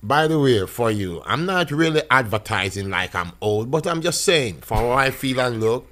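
A man, a chef talking as a podcast guest, animated, his voice jumping high when he gets excited. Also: normal speed. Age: 50s. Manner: animated, big pitch jumps when excited. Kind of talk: casual interview talk. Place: small podcast studio. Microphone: studio boom mic, close.

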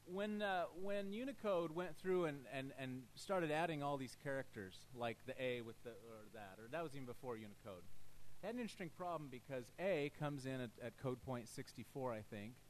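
A man's voice: mid-range voice, moderate pace, few pauses, a little hiss or hum, low-quality recording. A middle-aged man presenting a technical talk at a lectern, talking casually as he walks through a live demo, often restarting his sentences. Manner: casual, thinking aloud with frequent restarts. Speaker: conference presenter. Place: lecture hall, at a lectern.

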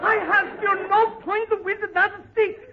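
An actor playing an excitable, protesting balloon seller with a foreign accent and comically broken English in 1940s radio comedy. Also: male voice, very high voice, a little slow. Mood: indignant. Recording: muffled, very noisy 1940 radio recording.

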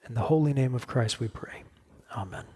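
A man in his forties preaching a sermon, explaining in measured short phrases with pauses. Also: mid-range voice, darkish tone, slightly rough. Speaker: pastor preaching. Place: church stage at a lectern, indoors.

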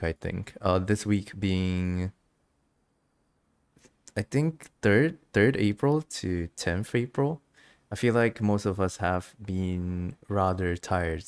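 Low-voiced man, chatty game streamer. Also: clean tone. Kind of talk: casual game commentary.

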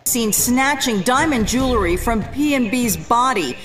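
A woman's voice: on the high side, lively intonation, fairly bright tone, soft background music. Woman narrating a crime news report. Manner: measured, crisp broadcast delivery.

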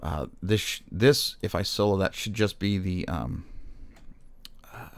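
A man presenting an informal step-by-step music software demonstration, haltingly thinking aloud as he goes. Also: low-pitched. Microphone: microphone recorded straight into screen-capture software.

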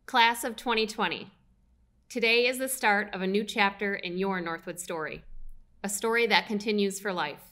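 A young woman reads a prepared address from a podium mic. Her delivery is measured and even. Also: mid-range voice. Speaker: alumni relations director. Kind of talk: read formal address. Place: stage at a podium.

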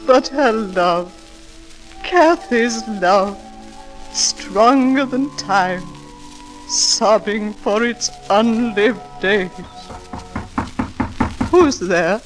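An actress playing a devoted older housekeeper who speaks in a solemn, dramatic way, in 1940s radio drama style. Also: female voice, fairly high pitch, drawn-out words, long pauses, poor audio. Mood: alarmed. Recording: old radio recording with music.

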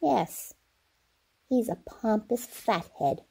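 A woman's voice: fairly high pitch, lively intonation, slow, vocal fry, mellow, slightly rough, exaggerated voice. A woman playing a feisty, blunt great-grandmother of ninety-six in an old-time radio comedy. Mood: grumbling. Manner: blunt, folksy.

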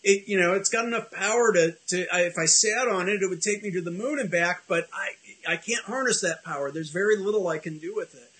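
A man's voice: high-pitched, brisk, hardly any pauses, a bit bright, low-quality recording. A middle-aged man hosting a casual video call chat from his home office, rambling and self-deprecating with many restarts. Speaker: host.

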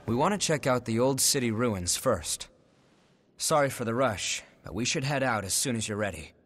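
A man playing a composed, noble young revenant leader in a video game, speaking in a measured, serious way. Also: mid-range voice, lively intonation, fairly bright tone. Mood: composed, explanatory. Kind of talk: video game cutscene acting. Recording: music behind the voice.

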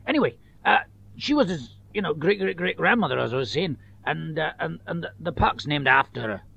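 Matey Scottish rambler, gravelly, hesitant, up-and-down pitch, dull tape. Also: male voice, animated, brisk, bright, forceful tone, heavily acted. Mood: rambling, matey. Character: talkative, rambling Scottish man, matey and cadging. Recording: dull, dry home-made tape.

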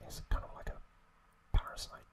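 A man whispering an ASMR recap as host, telling it in short, hesitant phrases with pauses.

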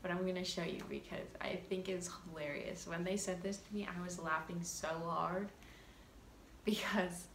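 A teenage girl chats to her laptop camera in a small bedroom, easygoing and full of likes and ums. Her voice has a light fry to it, and the small room rings around her. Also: on the low side, steady tone, moderate pace, fairly bright tone, echoey room, slight background noise. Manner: chatty, giggly, lots of likes and ums. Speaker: vlogger. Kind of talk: casual vlog talk to camera.

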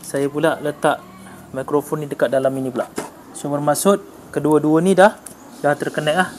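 A man's voice: on the high side, steady tone, moderate pace, a little mellow. A man with a Malaysian accent giving a casual, hands-on product demonstration, mixing in English tech terms. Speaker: motorcycle gear reviewer. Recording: clearly noisy room recording.

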